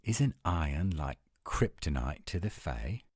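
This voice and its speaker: A man's voice: extremely low pitch, sing-song, a little slow, darkish tone, husky, no room sound, clean studio sound, exaggerated voice. A man playing a testy, headstrong werewolf, terse and challenging, in an audio roleplay drama. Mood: considering. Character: testy, headstrong male werewolf, protective of his pack.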